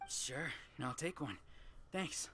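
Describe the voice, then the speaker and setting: Restless, animated wounded young soldier. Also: male voice, a little high, takes it slowly, a little hiss or hum, heavily acted. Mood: impatient. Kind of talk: anime game voice acting.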